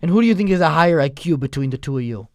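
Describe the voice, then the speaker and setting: A young man interviewing a guest with deadpan, mocking humor. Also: fairly high pitch, rapid-fire, dry recording, clean studio sound. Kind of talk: comedic confrontational interview.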